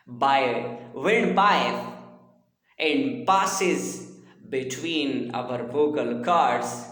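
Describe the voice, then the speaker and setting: A man lecturing on a language subject in a deliberate, repetitive, formally explanatory way. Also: on the high side, a bit bright. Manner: deliberate, repetitive teaching with formal address to listeners. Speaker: linguistics lecturer. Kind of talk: explanatory phonetics lesson. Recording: echoey room with long reverb.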